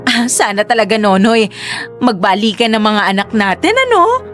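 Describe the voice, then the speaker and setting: A scornful, bigoted mother, played by a woman in a radio drama, high and shrill, her voice leaping up and down as she lashes out. She speaks with open contempt, over faint background music. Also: animated, normal speed. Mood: sweet, scheming. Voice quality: shrill, contemptuous, shouting tirades. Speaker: mother of Albert.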